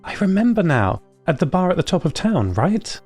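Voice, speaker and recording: Man voicing an exuberant, high-pitched chatty woman. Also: moderate pace, faint music behind. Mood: excited, relieved. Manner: gushing, excitable. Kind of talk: audio fiction character dialogue.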